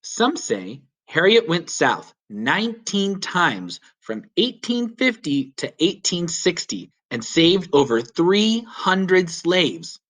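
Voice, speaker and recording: A man reading a children's story aloud as a teacher would, with clear, deliberate, emphatic phrasing. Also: sits high, sing-song, a bit bright. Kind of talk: children's read-aloud narration.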